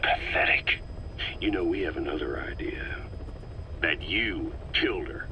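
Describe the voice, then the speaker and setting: An actor playing a hard, cynical military interrogator in a game cutscene, with a pointed, sardonic, pressing manner. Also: male voice, low voice, expressive, unhurried, pushed and bright, full character voice. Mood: cold accusation. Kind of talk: video game cutscene acting. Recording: dull, muffled, faint background noise.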